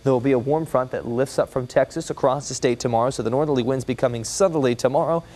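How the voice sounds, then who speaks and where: A man's voice: mid-range voice, fast, a little mellow. An American man delivering an ad-libbed weather forecast on a broadcast newscast.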